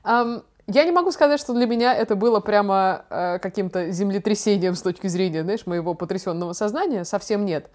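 A woman's voice: mid-range voice, a little fast, few pauses, a little mellow. A young adult woman chatting casually as a podcast co-host, giving reflective opinions in an articulate, discursive way.

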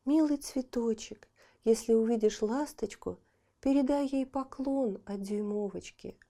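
A woman voicing a tiny, gentle girl in a children's audiobook, speaking softly and wistfully. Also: fairly high pitch, a little slow, pitched above the performer's own voice. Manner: soft, wistful and quiet. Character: tiny sad gentle girl, soft-spoken. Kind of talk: storybook audiobook acting.

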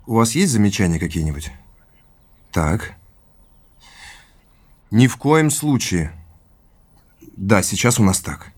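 An actor playing an earnest, inquisitive businessman in a naturalistic audio drama, polite and measured in conversation. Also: lowish voice, darkish tone. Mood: businesslike. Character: earnest, inquisitive businessman tracing his family's past.

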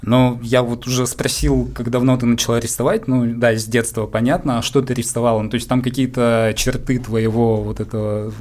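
A man's voice: mid-range voice, level tone, fairly quick, hardly any pauses, a little mellow. A man hosting a casual, conversational interview, asking questions and sharing his own examples. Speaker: interviewer, writer.

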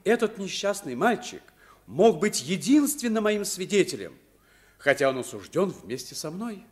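Noble prisoner: highish voice, animated, impassioned stage declamation for radio drama. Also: male voice, normal speed. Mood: impassioned pleading. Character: noble, loyal accused man pleading for a child. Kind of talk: Soviet radio play acting.

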